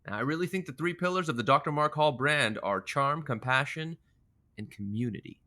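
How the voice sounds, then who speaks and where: A bright-voiced, smug radio doctor. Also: male voice, a little high, brisk. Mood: breezy, self-assured. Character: smug, charming, animal-loving male radio doctor. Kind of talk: scripted audio comedy drama.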